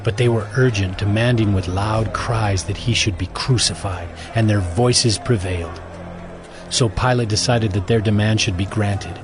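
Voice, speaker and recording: A man's voice: lowish voice, normal speed. A man reading scripted scripture narration as the narrator of a drama. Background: music.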